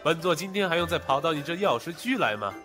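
An actor playing an imperious, mocking sect master in a Chinese period audio drama. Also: male voice, fairly high pitch, sing-song, quick pace, a bit bright, faint music behind. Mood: scornful. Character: haughty, sardonic male sect master of ancient China.

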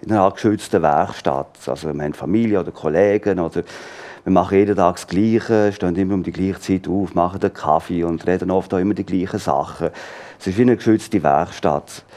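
Low-voiced Swiss German man, laughing often. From born in London, raised in Graz and Olten. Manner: relaxed, laughs often, thoughtful pauses. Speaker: author guest. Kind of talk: studio interview. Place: TV studio. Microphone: lapel.

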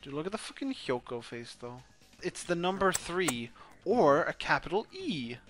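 Bright-voiced young man joking casually. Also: fairly high pitch, big pitch swings, moderate pace. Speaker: amateur game dubber and commentator. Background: background game music.

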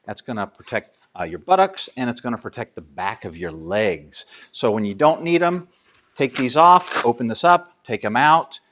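A middle-aged man talks through sailing gear in a practical, unhurried way, filling pauses with ums and uhs. His voice sits at an ordinary pitch. The studio recording is slightly dull. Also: sing-song, fairly bright tone. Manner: practical, hands-on, many ums and uhs while handling gear. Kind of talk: product explainer.

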